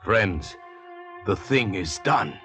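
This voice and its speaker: An actor playing a worldly, educated convict doctor and murderer in an old radio drama, urbane and deliberate, with a condescending manner. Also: male voice, low-pitched, lively intonation, fairly bright tone, soft background music, heavily acted. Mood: smug, celebratory. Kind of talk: 1940s radio drama acting. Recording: vintage radio broadcast.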